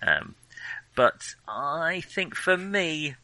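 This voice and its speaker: A man's voice: fairly high pitch, animated, extremely slow, bright, forceful tone, dry recording, rough recording. A man co-hosting a film podcast in casual conversation, hesitant and reflective with a wry streak.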